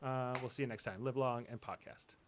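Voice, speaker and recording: A man's voice: medium pitch, fairly quick, soft background music. A man hosting a casual panel podcast, reading out show announcements in a rambling, hesitant way that often trails off. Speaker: podcast host.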